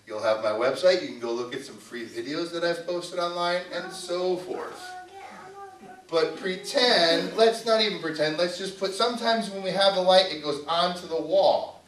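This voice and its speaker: A man's voice: high-pitched, normal speed, fairly bright tone. A man presenting as a puppeteer to a family audience, informal, instructive and playful, live in an indoor venue. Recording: echoey room, distant mic.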